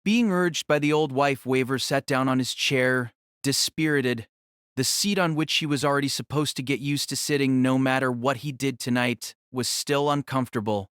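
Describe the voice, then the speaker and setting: A man's voice: medium pitch, normal speed, a bit bright, clean tone, dry recording, clean studio sound. A man narrating a novel as a scripted audio drama.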